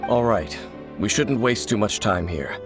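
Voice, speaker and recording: An actor playing a mysterious, grave wanderer who hunts the Abyss, speaking in a measured and cryptic way in video game voice acting. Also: male voice, lowish voice, natural voice. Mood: solemn. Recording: game audio with loud music.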